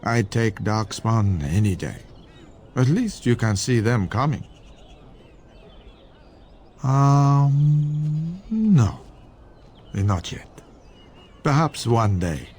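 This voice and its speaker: A man's voice: mid-range voice, very animated, extremely slow, long pauses, a little mellow, full character voice. A man playing a suave, worldly foreign merchant with shady dealings in a fantasy video game, courtly and philosophical in manner. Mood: guarded, polite. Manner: courtly, smooth-talking, philosophical.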